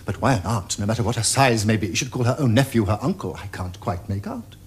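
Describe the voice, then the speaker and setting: An actor playing a witty, teasing young gentleman with an upper-class English accent, in a theatrical period comedy. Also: on the low side, animated, a little fast, lightly acted. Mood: teasing, insistent. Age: young adult. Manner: theatrical, epigrammatic, crisp upper-class phrasing. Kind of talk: period stage comedy acting. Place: furnished studio drawing-room set.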